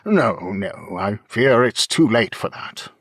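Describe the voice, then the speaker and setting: Man playing a polite, earnest monk, animated voice. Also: medium pitch, dry recording, clean studio sound. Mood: resigned, pleading. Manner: measured, formal, conciliatory. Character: polite, earnest male monk, mild pleader. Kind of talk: fantasy audiobook character acting.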